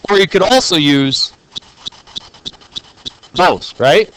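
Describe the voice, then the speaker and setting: Male teacher, higher register, playful and jokey, classroom delivery. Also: animated, takes it slowly, fairly bright tone, a little hiss or hum. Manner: jokey, playful, talks straight to students. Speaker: math teacher. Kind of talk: classroom lesson recorded on video.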